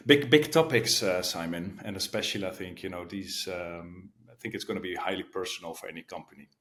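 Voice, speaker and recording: A middle-aged man from the Netherlands with a Dutch accent tinged with American, answering interview questions in a relaxed way, thinking aloud with fillers. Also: sits low, fairly quick. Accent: Dutch with some American. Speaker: guest, sales leader.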